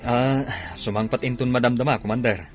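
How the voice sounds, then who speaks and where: A man's voice: mid-range voice, fairly even intonation, a little fast, faint music behind, close to an everyday voice. A man with an Ilocano accent playing a respectful soldier in a radio drama. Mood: deferential. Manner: respectful, calling the commander sir. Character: deferential soldier under the commander.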